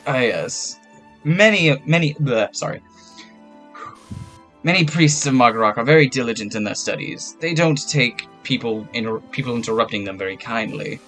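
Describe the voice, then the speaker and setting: A man voicing an old male priest who speaks slowly and formally. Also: medium pitch, lively intonation, fairly bright tone, faint music behind, lightly acted. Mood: advising. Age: older. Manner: slow, formal, courteous.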